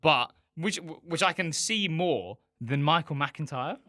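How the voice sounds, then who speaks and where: A man with a bright, forward voice tells anecdotes as a comedian guest. His delivery is informal and bemused, recorded close and dry with no room sound. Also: fairly high pitch, lively intonation, takes it slowly, no room sound. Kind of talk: casual anecdotal chat.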